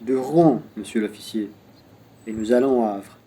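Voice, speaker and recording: A man's voice: fairly low pitch, normal speed, mellow, a little hiss or hum, lightly acted. A man playing a polite, deferential wine merchant in a radio play. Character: deferential male merchant, respectable married wine dealer. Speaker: student actor. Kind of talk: radio play acting in French.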